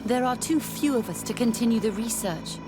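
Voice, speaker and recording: An actress playing an earnest, passionate religious sister and scholar in a video game, formal and expressive. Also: female voice, fairly high pitch, fairly quick, background noise, lightly acted. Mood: sorrowful. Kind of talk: dramatic video game acting.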